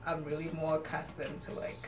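A woman's voice: low-pitched, completely flat delivery, brisk. A woman in her twenties with a Zimbabwean accent, chatting casually as an interview guest. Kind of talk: casual chat. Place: small indoor room. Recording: roomy room sound, clear music behind.

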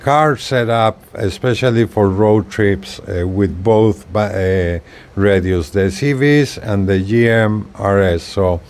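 An older man giving a casual, hands-on product demonstration with halting, unpolished delivery, recorded outdoors on a porch. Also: fairly low pitch, a little mellow. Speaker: video creator demonstrating radio gear. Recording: outdoor ambient noise.

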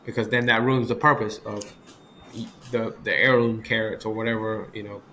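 A man's voice: fairly low pitch, level tone, normal speed, pushed and bright. A man in his thirties from Vietnam, a chef talking casually as an interview guest, often checking in with the listener. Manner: repetitive, often ends points with "right?". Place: small room indoors, on a video call. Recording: video call with clear background noise.

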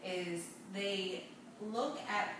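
A woman's voice: mid-range voice, drawn-out words. A young adult woman co-hosting a salon talk, explaining things in casual chat. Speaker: salon talk show co-host, hair stylist. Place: indoor hair salon seating area. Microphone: far camera. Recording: distant mic, echoey room, noisy background.

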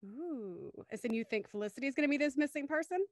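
A woman's voice: high-pitched, lively intonation, fast. A young woman hosting a livestream show in an upbeat presenting style, speaking into a studio microphone. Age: young adult. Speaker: show host. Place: small room at home, video call. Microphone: studio microphone on a stand, headphones.

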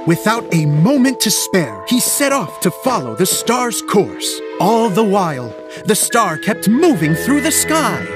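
An earnest middle-aged stage director from a video game. His mid-pitched voice moves in lively swings, hesitant at times and theatrical at others, with music clearly behind it. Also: a little slow, hardly any pauses, heavily acted. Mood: storytelling.

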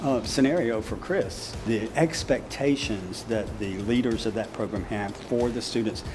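A man's voice: lowish voice, unhurried. A man in his sixties, a teacher, speaking in a sit-down interview recorded indoors. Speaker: special education teacher and cafe program leader. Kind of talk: sit-down interview for a promotional video. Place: indoor room of a cafe. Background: music.

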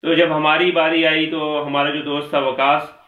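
A man's voice: a little high, normal speed. A man in his thirties with a Pakistani accent, telling a story casually to the camera in a small room at home, gesturing as he talks. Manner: chatty, animated with hand gestures. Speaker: vlogger. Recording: echoey room, dull lo-fi sound.

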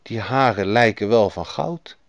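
A man's voice: fairly low pitch, measured pace, dry recording, muffled recording. A man voicing a greedy, nosy young goose boy who talks eagerly and grumbles. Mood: amazed eager.

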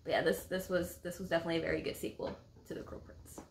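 A woman's voice: on the low side, little pitch movement, racing, vocal fry. A woman in her thirties talking casually and enthusiastically as a book vlog co-host, with playful emphasis, in a room at home. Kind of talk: casual book review chat. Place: indoor room at home with bookshelves.

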